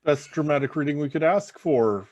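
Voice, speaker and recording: A man's voice: a little high, animated, moderate pace, a little mellow, no echo. A man speaking casually as the host of an event.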